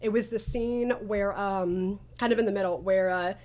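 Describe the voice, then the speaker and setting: Female, medium pitch, chatty creator-host in a relaxed cast interview, animated storyteller. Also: moderate pace. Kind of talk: casual interview chat.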